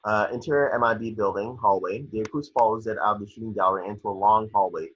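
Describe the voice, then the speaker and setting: A man reading screenplay action lines aloud in a somewhat halting way, as narrator of an amateur table read. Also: fairly low pitch, steady tone, fairly bright tone, husky, dull audio. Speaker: reader of action descriptions.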